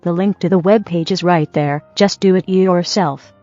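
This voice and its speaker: A woman's voice: on the low side, moderate pace, warm tone. A woman co-hosting in scripted banter, with a dry, sarcastic and needling manner. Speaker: co-host. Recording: dull dry audio, music behind. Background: background music.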